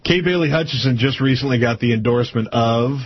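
A man's voice: medium pitch, quick pace, slightly rough, dull audio. An American man hosting a casual political interview. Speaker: host.

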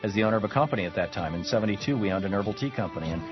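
An older man hosting a call-in radio talk show, wry and quick to laugh, with stammering restarts. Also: on the low side, animated, over music. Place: radio studio. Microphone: studio mic. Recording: dull band-limited radio audio.

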